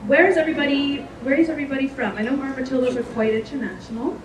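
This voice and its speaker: A woman's voice: sits high, fairly even intonation, crowd noise. A woman interviewer asking questions in a casual, enthusiastic way. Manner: enthusiastic, quick to praise. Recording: echoey, distant, noisy ambience.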